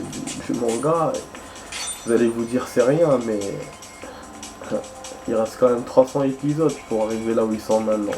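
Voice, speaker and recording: A man's voice: medium pitch, low-quality recording. A young man chatting casually while playing a game, rambling and hesitant, with many fillers and restarts. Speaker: gaming streamer.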